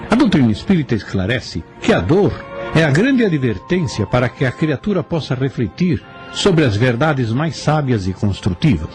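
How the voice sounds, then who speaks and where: A man's voice: medium pitch, darkish tone. A man giving solemn, oratorical scripted narration for a radio drama.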